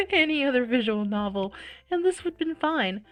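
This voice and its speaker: A woman plays through a visual novel and reads it aloud. Her delivery is expressive and dramatic, breaking into her own reactions, with faint game music behind her. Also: high voice, normal speed, poor audio. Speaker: video game let's-player.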